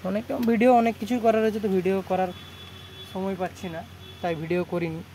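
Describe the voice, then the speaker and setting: Young man, West Bengal Bengali accent, high pitch, mellow tone, casual repetitive vlog talk, handheld phone. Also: fast talker. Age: young adult. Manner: repetitive, informal. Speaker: electronics repair shop vlogger. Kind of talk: casual product showcase vlog. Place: cluttered indoor repair shop. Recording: noisy handheld phone recording.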